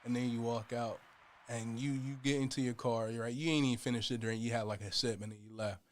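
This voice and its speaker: A young man in his late teens chatting casually, animated and full of slang, spinning jokey hypotheticals. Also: mid-range voice, brisk, a little hiss or hum. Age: young adult. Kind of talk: casual podcast chat.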